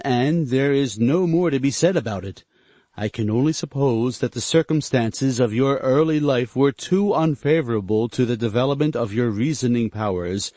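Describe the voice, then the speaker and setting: A pompous older mill-owner who lectures in stiff, measured sentences, coldly practical even when he means to be kind, as a male reader puts him on. The voice sits at an ordinary middle pitch, and the recording is dry with no room around it. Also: no room sound, lightly acted.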